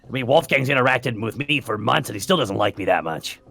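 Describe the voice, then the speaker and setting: A man's voice: mid-range voice, lively intonation, brisk, creaky, a bit bright. A man playing a tabletop game, chatting casually with a sardonic, flippant manner.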